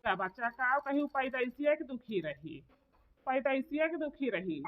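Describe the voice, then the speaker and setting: A woman's voice: high-pitched, expressive, moderate pace. A woman with a rural eastern Uttar Pradesh accent voicing a grieving, indignant village father in a comedy cartoon, lamenting and repeating himself. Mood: sorrowful. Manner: lamenting, repetitive. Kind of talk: dialect comedy cartoon dialogue. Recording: phone-like narrow lo-fi audio.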